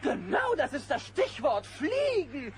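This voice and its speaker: A man voicing a flamboyant, excitable bear artist with a theatrical, breezy, self-satisfied delivery in a German children's audio drama. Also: extremely high pitch, slow, over a noisy crowd, exaggerated voice. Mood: encouraging. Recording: noisy old cassette audio drama.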